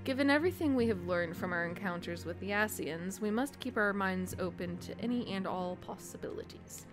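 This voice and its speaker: A woman's voice: medium pitch, brisk, close to an everyday voice. A woman voicing earnest, thoughtful fantasy game characters as she reads their formal dialogue aloud. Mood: solemn, thoughtful. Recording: voice over loud game music.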